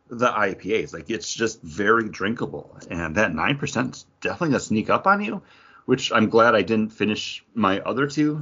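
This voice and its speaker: A man's voice: fairly low pitch, a bit bright, slightly rough, muffled recording. A man hosting a podcast, giving a casual review in a conversational way with slangy asides. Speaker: podcast host.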